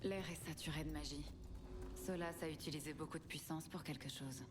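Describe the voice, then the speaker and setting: A woman's voice: low-pitched, fairly quick, music in the background, natural voice. A woman playing a serious, alert companion in a dubbed fantasy video game. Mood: grave.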